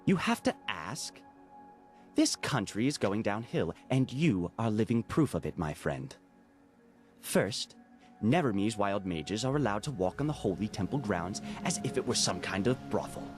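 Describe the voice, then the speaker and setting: A man's voice: on the low side, big pitch swings, normal speed, soft background music. A man acting a haughty, bitter young novice of a holy order, scornful and pompous, in a fantasy video game.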